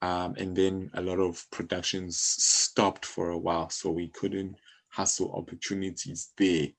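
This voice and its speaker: A South African man speaks as a guest in a relaxed-format interview. His voice sits on the low side, and he explains his work conversationally, reaching for everyday examples. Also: a little slow, creaky. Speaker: interview guest, music publishing company co-founder. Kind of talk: casual interview talk.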